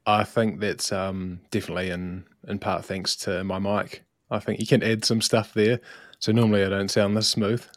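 A man with a New Zealand accent, relaxed and unhurried, introducing himself as marketing lead with lots of ums. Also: lowish voice, no room sound, studio quality. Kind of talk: casual self-introduction on a livestream.

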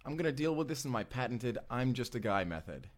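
A man's voice: medium pitch, sing-song, a little fast. A man playing an easygoing, self-deprecating young regular guy in an audio roleplay, casual and conversational. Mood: casual, confident. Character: easygoing regular young guy, breezily confident time traveler.

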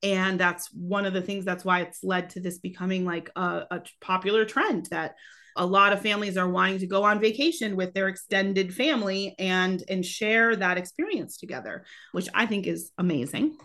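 A woman in her thirties co-hosting a podcast in casual chat, her voice a little raspy from a cold, talking loosely with many restarts. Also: medium pitch, moderate pace, bright, forceful tone. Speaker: podcast co-host.

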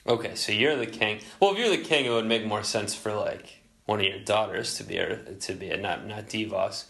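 Man voicing a cocky sidekick, low and bright. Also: moderate pace, gravelly. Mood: needling. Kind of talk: improvised comedy banter in character.

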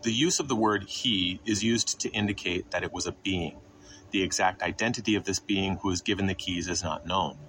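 Low voiced guy explaining bible passages like a teacher, asks stuff then answers it, some background noise. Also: fairly bright tone, rough recording. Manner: didactic, poses questions then answers them. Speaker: Bible teacher narrating a podcast. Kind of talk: scripted scripture exposition.

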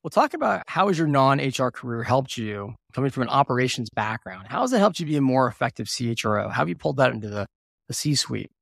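A man hosting an interview podcast, encouraging his guest and asking quick follow-up questions. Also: mid-range voice, sing-song, creaky, fairly bright tone, no room sound, studio quality. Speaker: podcast host.

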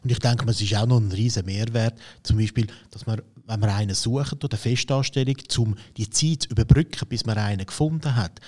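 Swiss German host leading a business chat. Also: male voice, fairly low pitch, darkish tone. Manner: conversational, steering with questions, frequent "ähm" fillers. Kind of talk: business conversation.

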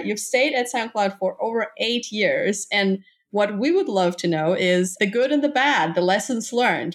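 A woman hosting an interview, asking questions in a friendly, inquisitive way. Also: mid-range voice. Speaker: interview host. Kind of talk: conversational interview.

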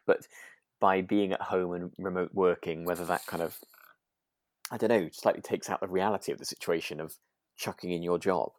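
Low, dry-sounding woman. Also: a little mellow, dry recording. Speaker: podcast co-host. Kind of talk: relaxed radio drama recap chat.

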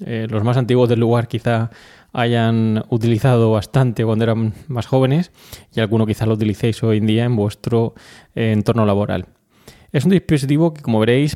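Mellow-voiced man from a Spanish podcast, Castilian accent. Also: fairly low pitch. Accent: Spain. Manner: explanatory, addresses listeners directly. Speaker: podcast host. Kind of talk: informal solo podcast talk.

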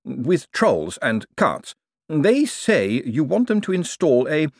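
A man's voice: a little high, very animated, slow pace, darkish tone, no echo, voice pushed up, exaggerated voice. A man voicing a fussy, easily offended male chief cashier who stammers and speaks primly. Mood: uneasy.

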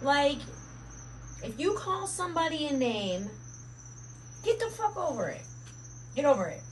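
Blunt, high-voiced woman ranting. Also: very animated, measured pace, slight background noise, low-quality recording. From Mexico. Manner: blunt, profane, emphatic. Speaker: podcast co-host. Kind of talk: casual chat.

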